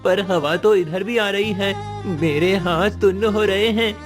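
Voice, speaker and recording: A man's voice: high voice, unhurried, over music. A man voicing a frightened, freezing young boy in a cartoon story, anxious and pleading. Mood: anxious, scared.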